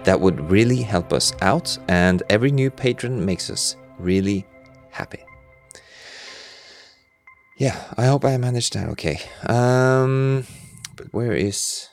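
Man, animated intonation, informal podcast outro, chatty, slightly unsure, music behind. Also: lowish voice, slow pace, vocal fry, pauses often. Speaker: composer standing in as credits reader.

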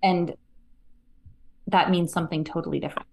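A woman's voice: fairly low pitch, steady tone, moderate pace, creaky, a little hiss or hum. A woman guest on a podcast, explaining her views in a thoughtful, casual way. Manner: thoughtful, explanatory, frequent "like" fillers. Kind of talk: casual conversational interview.

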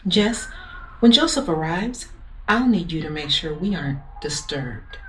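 An actress playing a flirtatious, scheming married woman of wealth in an audio drama, with a husky, breathy voice and coaxing manner. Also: fairly low pitch, lively intonation, measured pace, slight background noise. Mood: scheming, defensive. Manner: seductive, coaxing. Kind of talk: modern audio drama acting.

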